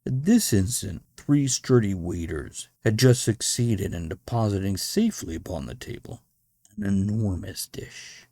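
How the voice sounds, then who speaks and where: A man reading a literary story as scripted narration, in an expressive, theatrical manner. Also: lowish voice, unhurried, creaky, no echo. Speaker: podcast host and story reader.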